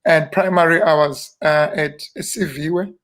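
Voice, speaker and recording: A South African man in his fifties, a minister, reminiscing in an unhurried, rambling interview with many fillers and restarts. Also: fairly high pitch, fairly bright tone. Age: 50s. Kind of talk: relaxed reminiscing interview talk.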